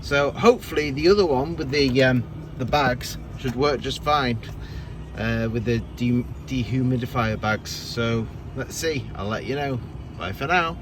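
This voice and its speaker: A man's voice: medium pitch, a little slow. A man giving casual, hands-on DIY narration as a vlogger, talking through what he is doing. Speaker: vlogger demonstrating car fixes. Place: around and inside a car, partly at a desk. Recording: clear background noise from car outdoors.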